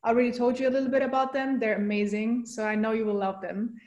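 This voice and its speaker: A woman's voice: on the high side, flat delivery, a little mellow. Woman hosting a call in an echoey room. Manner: friendly, brisk, short affirmations. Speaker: event host.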